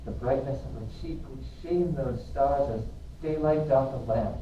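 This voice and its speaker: A man's voice: mid-range voice, moderate pace, highs cut off, close to an everyday voice. A man reading the part of a lovestruck, ardent young man in a Shakespearean verse drama. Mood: rapt, yearning. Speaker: amateur reader in a school play. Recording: roomy, distant mic, faint noise.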